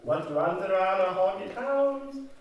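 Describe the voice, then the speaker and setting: A gleefully creepy radio game show host draws out his words slowly with theatrical mock menace. His cackling voice sits very high, and it rings from a distance in an echoey hall before an audience. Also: expressive, highs cut off. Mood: teasing, spooky. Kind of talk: live Halloween old-time radio comedy game show. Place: community center stage before live audience.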